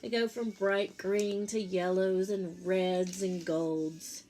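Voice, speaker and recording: A woman's voice: mid-range voice, level tone, takes it slowly, mellow, rough recording. A woman, a teacher reading a picture book aloud to young children, with simple explanatory asides and questions for her listeners.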